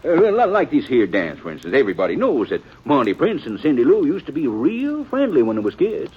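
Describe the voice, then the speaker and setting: An actor playing an excitable, kindhearted frontier wagon peddler with a folksy rural American drawl in an old-time radio western. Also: male voice, medium pitch, a little fast, slightly rough. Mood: gossipy, sympathetic. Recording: muffled, noisy old radio broadcast.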